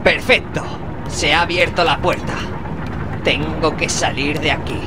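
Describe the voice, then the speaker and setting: High-voiced, anxious young hero acted by a man. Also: fairly even intonation, unhurried, lightly acted. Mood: focused, relieved. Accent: Castilian Spanish. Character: anxious, determined young male fantasy hero seeking a princess. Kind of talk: dramatic video game dub, talking to himself. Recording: clear background noise under the voice.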